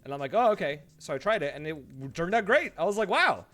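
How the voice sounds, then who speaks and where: A man streaming live, chatting casually to viewers and telling stories in an animated way, acting out his reactions. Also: high voice, fast. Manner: animated storytelling, mimics his own reactions; laughs easily while talking. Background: background music.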